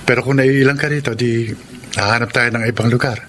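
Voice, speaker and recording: A man acts an earnest, impatient suitor in a Filipino radio love drama. His voice sits at a middle pitch, and there is clear background noise around him. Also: fairly even intonation, brisk, a bit bright, natural voice. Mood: earnest, confessing. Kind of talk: Filipino radio romance acting.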